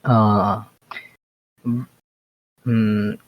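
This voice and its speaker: A man's voice: lowish voice, little pitch movement, drawn-out words, no echo. A young man chatting casually in an online voice call, rambling through restarts and fillers. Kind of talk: casual chat. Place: home, online voice chat. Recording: dull voice-chat audio.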